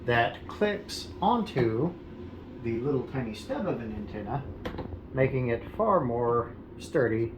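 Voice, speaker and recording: Casting: American man, lower-pitched, plain-spoken amateur how-to host, roomy sound with heavy background noise. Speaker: amateur host of a radio repair video. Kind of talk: casual how-to explanation. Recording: echoey room, loud background noise.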